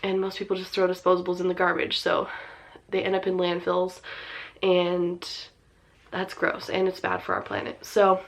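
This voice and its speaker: A young woman in her twenties talks casually straight to camera, her nose a little stuffed and sniffly from a cold. She rambles in a chatty, relaxed way, dropping plenty of ums and likes as she explains things. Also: fairly low pitch, moderate pace, creaky. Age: 20s. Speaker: vlogger.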